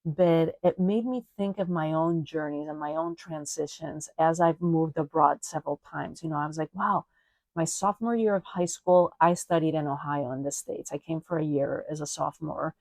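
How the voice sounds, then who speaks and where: A woman from Spain talks through her own podcast in a reflective, chatty way, leaning on little fillers as she thinks aloud. Her voice sits low. The recording is dry and close, with no room sound at all. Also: normal speed, a little mellow, no echo, rough recording. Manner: reflective, chatty, frequent "you know" and "like". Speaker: podcast host. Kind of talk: personal solo podcast monologue.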